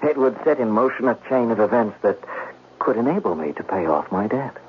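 A scheming, theatrical man, lively-voiced. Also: medium pitch, brisk, slightly rough. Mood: cool, calculating. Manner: theatrical, sly, feigning innocence. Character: scheming, smooth gambler brother narrating his own plot. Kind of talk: 1970s radio drama acting. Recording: muffled, noisy 1970s radio broadcast.

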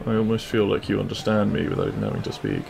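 A man playing a cheeky, kind-hearted bandit prince in an intimate audio roleplay, with a gravelly voice and a teasing, reassuring manner. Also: on the low side, big pitch swings, racing, exaggerated voice. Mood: tender, flirtatious.

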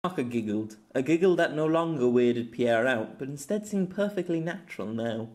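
A man's voice: medium pitch, expressive, normal speed, a little mellow. A man reading scripted fiction as the narrator of an audiobook.

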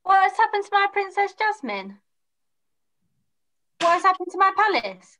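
A woman's voice: very high-pitched, sing-song, moderate pace, pushed and bright, exaggerated voice. A woman playing a distraught young male pantomime hero, urgent and melodramatic. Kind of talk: script read-through on video call. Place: room at home, video call.

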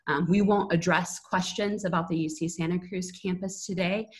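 A woman's voice: on the low side, fairly even intonation, moderate pace. A woman in her thirties moderating an online public briefing, speaking from an indoor room on a video call. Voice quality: husky, creaky.

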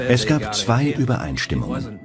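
Low-pitched, lively investigator. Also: male voice, moderate pace. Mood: matter-of-fact. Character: male investigator recounting a cold case. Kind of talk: documentary voice-over dub. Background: prominent music.

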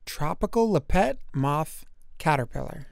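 Higher-pitched man reading a children's book. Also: expressive, unhurried, creaky, dry recording, studio quality. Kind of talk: scripted read-aloud of a children's nature book.

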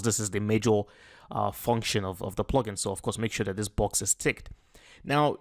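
A man talking through a software tutorial in a casual, explanatory way, with fillers and restarts as he clicks through settings. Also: on the low side. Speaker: tutorial host. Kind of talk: screen-recorded software tutorial. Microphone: voice-over on a screen recording.

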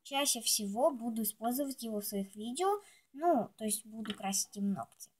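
A woman's voice: a little high, animated, normal speed, a little mellow, slightly rough. A woman video blogger chatting casually and ramblingly to herself and her viewers while showing things, chewing gum as she talks. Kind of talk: casual chatty nail polish show-and-tell.

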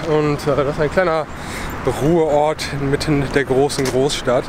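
A man's voice: on the high side, fairly even intonation, moderate pace. A man in his thirties chatting and joking in a casual travel vlog, filming himself at arm's length outdoors. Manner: chatty, joking, addresses viewers directly. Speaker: travel vlogger. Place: outdoors in a city park and on a pedal boat. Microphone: handheld camera at arm's length. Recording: loud outdoor city noise.

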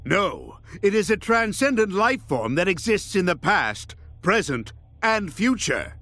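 An actor playing a pompous, scheming middle-aged mad scientist villain in a video game cutscene, with a bombastic, theatrical, grandiose manner. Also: male voice, high voice, very animated, measured pace, heavily acted. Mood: grave, expository. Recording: loud music over the voice.

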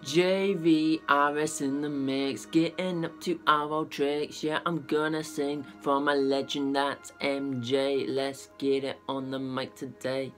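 A young man with a croaky voice giving rapid, rambling shout-outs to his viewers from a small bedroom, speaking into a laptop webcam. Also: fairly high pitch, level tone, soft background music. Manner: rapid, rambling delivery with many fillers. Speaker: channel host and amateur singer.

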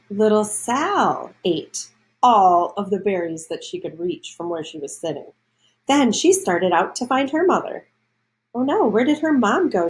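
A woman's voice: medium pitch, low-quality recording. A woman reading a children's storybook aloud, adding explanations and questions for young listeners.

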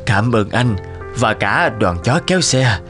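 An actor playing a solemn, kindly town doctor in an animated film, measured and consoling. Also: male voice, mid-range voice, faint music behind.

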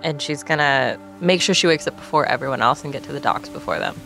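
A woman's voice: low-pitched, fast talker, gravelly, fairly bright tone, soft background music. A woman playing a tabletop game, casually narrating what her character does.

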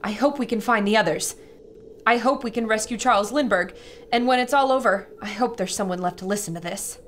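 An actress playing an earnest young radio reporter, describing events to listeners in an audio adventure drama. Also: female voice, fairly high pitch, brisk, background noise, lightly acted. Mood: hopeful, solemn.

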